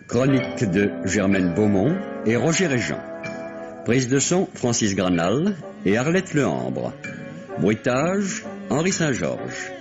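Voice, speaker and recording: Music plays clearly behind a man on a dull old radio recording. He presents like a classic drama announcer, formal and measured, with a very animated melody to his slow delivery. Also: on the low side. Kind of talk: vintage radio credits announcement. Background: prominent music.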